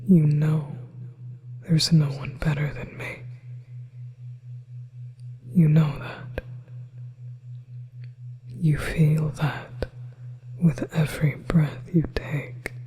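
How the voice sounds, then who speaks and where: A woman performs a yandere demoness in a hypnotic ASMR roleplay. Her breathy whisper is low and mellow, drawn out slowly. She coaxes with possessive, manipulative sweetness. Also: level tone. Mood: possessive, persuasive. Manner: slow, hushed, soothing, coercive coaxing.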